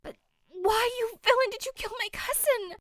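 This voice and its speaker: A woman's voice: very high-pitched, moderate pace, a bit bright, dry recording, studio quality. A woman playing a passionate, desperate young newlywed noblewoman in an audio drama, declaiming theatrically. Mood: indignant, remorseful. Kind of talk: audio drama acting of modernized Shakespeare.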